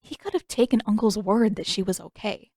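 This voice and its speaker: A woman's voice: medium pitch, a little fast, warm tone, no room sound. Woman narrating fiction in a dry recording. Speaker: podfic narrator and author. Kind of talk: scripted fiction narration, expressive. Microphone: close microphone, dry room.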